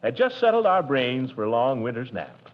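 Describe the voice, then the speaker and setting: An actor playing Gildersleeve, a pompous, jovial middle-aged uncle, in a 1940s radio comedy, with a blustery, chuckling manner. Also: male voice, medium pitch, very animated, moderate pace, lightly acted. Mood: reading aloud. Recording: dull old radio broadcast.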